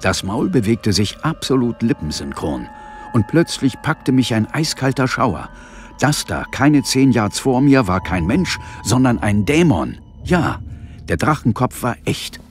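An actor playing a cool, tense police inspector who narrates a demon fight in a dramatic radio play. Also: male voice, mid-range voice, sing-song, measured pace. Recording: music bed under the voice. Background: dramatic music.

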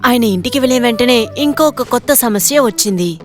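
Female storyteller, medium pitch, scripted moral tale narration, prominent background music. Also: lively intonation, fairly quick. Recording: loud music behind the voice.

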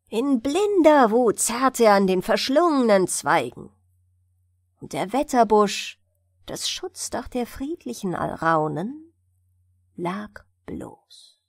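A woman with a fairly high voice reads a scripted fairy tale for bedtime listening. Her delivery is slow and measured, with expressive, widely moving intonation. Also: no room sound, clean studio sound. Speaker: audiobook narrator.

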